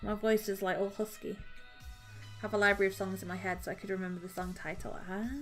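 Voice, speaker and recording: A woman's voice: medium pitch, completely flat delivery, quick pace, a bit bright, faint music behind, poor audio. A woman streamer chatting casually with her viewers while she cross-stitches, rambling and laughing often.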